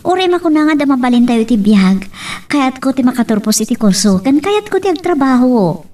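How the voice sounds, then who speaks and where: An actress playing an earnest, lovestruck young student who pleads and reassures as a daughter in an audio drama. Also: female voice, sits high, very animated, brisk, a little mellow, distant mic. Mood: earnest reassurance. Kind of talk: Ilocano radio-style drama acting.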